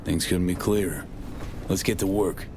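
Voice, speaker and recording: A street-smart mercenary man with a very deep voice. He talks in terse, slangy bursts, guarded and sardonic, in cinematic game style. Slight noise sits behind him. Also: steady tone, moderate pace. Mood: wary, probing. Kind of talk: cinematic game acting, casual slangy dialogue.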